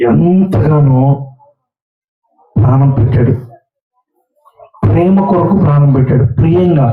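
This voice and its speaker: A man teaching children at a Sunday school puppet show, coaxing and questioning a child in a large hall. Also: a little high. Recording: roomy, distant, dull sound.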